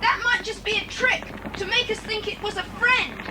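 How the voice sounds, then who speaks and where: A woman's voice: very high-pitched, moderate pace. A woman voicing a plucky, watchful British boy in a children's adventure audio drama. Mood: alert, excited. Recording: hissy old cassette with long reverb.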